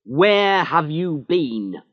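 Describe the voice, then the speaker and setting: A man's voice: mid-range voice, lively intonation, takes it slowly, dry recording, lo-fi sound, pitched above the performer's own voice. A man voicing a stern, impatient police inspector with a barking, sarcastic manner.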